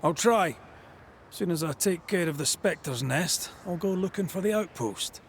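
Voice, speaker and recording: An actor playing an earnest, bold ghost hunter with a Scottish accent in a video game, plain-spoken and eager. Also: male voice, high-pitched, expressive, a little slow, slight background noise. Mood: determined. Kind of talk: video game cutscene acting.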